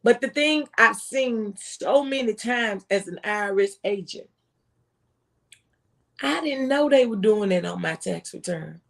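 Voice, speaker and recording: A woman's voice: medium pitch, expressive, unhurried, gravelly. An American woman speaking as a former tax agent, giving folksy, emphatic advice and repeating phrases for stress. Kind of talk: impassioned conversational tax advice.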